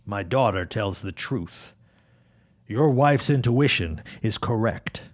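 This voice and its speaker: A man's voice: lowish voice, lively intonation, slow. An actor playing a resolute, protective father and neighbor of the early twentieth century in an audio drama. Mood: urgent, determined.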